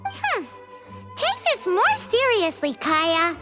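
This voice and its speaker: A woman playing a tiny, childlike, excitable floating girl companion in a video game, with a squeaky, cutesy voice. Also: slow pace, heavily acted. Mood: indignant. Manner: cutesy, refers to herself in third person. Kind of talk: video game character voice acting. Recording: loud game music behind.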